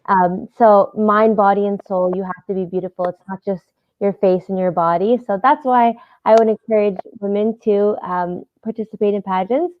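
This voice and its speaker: Young woman, pageant guest, earnest, chatty with fillers, mid pitch, dry slightly muffled sound. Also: steady tone, normal speed. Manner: earnest, many fillers, easy laughs. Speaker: pageant titleholder, interview guest. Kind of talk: casual interview answers.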